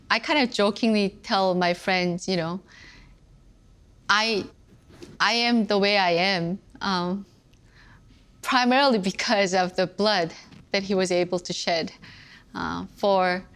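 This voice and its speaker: A middle-aged woman from South Korea with a Korean accent, giving a reflective personal testimony, softly spoken with many pauses. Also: medium pitch. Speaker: seminary professor.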